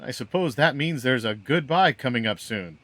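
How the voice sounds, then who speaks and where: An actor playing a gruff, fatherly old mentor in an audio drama, with a dry, sardonic manner. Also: mid-range voice, expressive, moderate pace, a bit bright. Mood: dry, wary.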